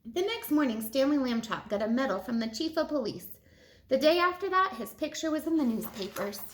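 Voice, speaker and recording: A woman reading a children's story aloud in expressive narration. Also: sits high, brisk, reverberant. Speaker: storybook reader.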